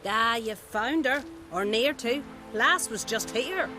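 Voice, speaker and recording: A folksy innkeeper. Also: female voice, a little high, expressive, slow pace, over music. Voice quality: folksy, chatty. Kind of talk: video game dialogue.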